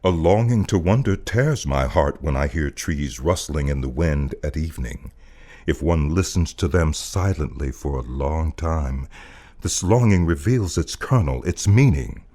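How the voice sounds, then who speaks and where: A man with a deep voice reads literary prose as a trained narrator. His delivery is slow and solemn, with deliberate phrasing and theatrical emphasis that rises and falls widely in pitch. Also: lively intonation, mellow. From United States. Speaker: voice-over artist. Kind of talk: dramatic poetic literary reading.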